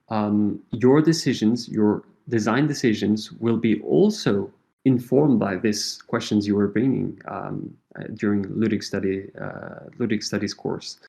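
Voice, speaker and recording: A middle-aged man with a Portuguese accent speaks in a fairly low voice. He answers thoughtfully, pausing on fillers and restarting his sentences as he works out his ideas. Portuguese is his first language. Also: a little mellow. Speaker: associate professor, guest. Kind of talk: interview answers.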